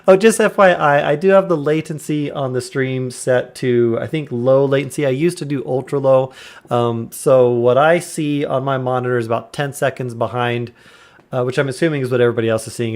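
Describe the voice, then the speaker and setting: A man in his thirties chatting casually on a live stream from a quiet room at home, keeping his voice low on purpose and greeting viewers by name. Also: gravelly. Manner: deliberately quiet, subdued voice. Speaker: game streamer. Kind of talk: casual live-stream chat while gaming.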